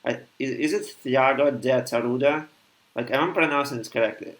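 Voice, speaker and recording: A man's voice: medium pitch, a little fast, a bit bright. A man hosting an interview over a video call, asking questions hesitantly and sometimes losing his train of thought. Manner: hesitant, loses track of questions. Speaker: talk show host.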